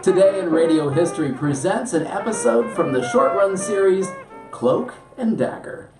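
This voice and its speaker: A man's voice: sits high, animated, normal speed, far from the mic. A middle-aged man presenting a scripted show as its host. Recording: roomy, off-mic, loud music behind.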